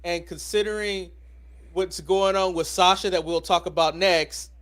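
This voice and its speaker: High-pitched man hosting a casual podcast. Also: flat delivery, unhurried, a bit bright. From United States. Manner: informal, slangy, steers discussion with questions. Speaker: wrestling podcast host. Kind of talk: casual sports talk.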